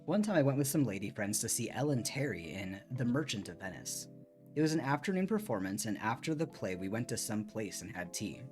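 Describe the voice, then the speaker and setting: A man's voice: lowish voice, sing-song, a little fast. A man reading a book aloud expressively on a stream, with casual commentary, close to the microphone. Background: soft music.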